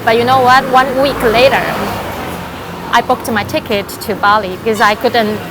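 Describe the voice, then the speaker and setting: A woman's voice: fairly high pitch, normal speed, music in the background. A woman from Taiwan, a life and business coach chatting in an interview with many fillers and light laughs. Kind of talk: casual interview answers. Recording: noisy background.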